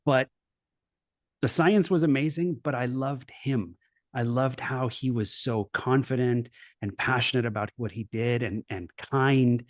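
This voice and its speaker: A middle-aged man from Los Angeles speaks earnestly and reflectively, often pausing to restart his sentences. His voice sits in a middle pitch and is recorded close and dry, with no room sound. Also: dry recording. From Los Angeles, USA. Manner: earnest, reflective, with frequent restarts and "you know". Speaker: guest, coach and former professor. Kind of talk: conversational interview storytelling.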